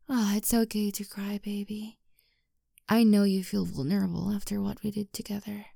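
A woman playing a doting, nurturing mature girlfriend in an intimate adult audio roleplay, with a breathy, cooing delivery. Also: on the high side, no room sound, studio quality. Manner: breathy, cooing, pet-name-laden intimate address.

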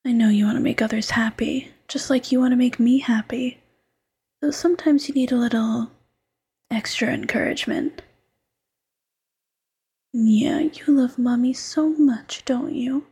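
A woman voicing a doting, teasing fox girlfriend who mothers her partner, in soft coaxing whispered roleplay. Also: a little high, measured pace, pauses often, slightly rough, heavily acted.

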